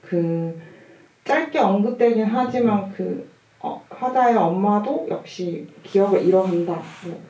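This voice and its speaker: A distant, slightly muffled recording in a roomy space carries a woman with a mellow voice. She is a film director at a Q&A, giving long, reflective answers. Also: mid-range voice, unhurried. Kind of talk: audience Q&A talk. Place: cinema hall at a festival Q&A.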